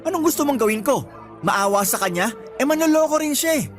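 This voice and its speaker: A man's voice: very high voice, animated, brisk, faint music behind. A man playing a jealous, insecure young boyfriend in a radio love drama, accusing and defensive. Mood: angry, accusing.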